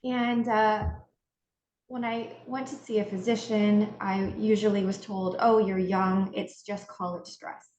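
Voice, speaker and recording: A woman's voice: mid-range voice, little pitch movement, a little slow, a little mellow. A woman from Hawaii, a podcast guest telling her story in a chatty way, acting out what others told her and often restarting her sentences. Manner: chatty, acts out remembered remarks, frequent restarts. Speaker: podcast guest, integrative health practitioner. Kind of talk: casual storytelling interview. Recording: roomy echo, faint noise.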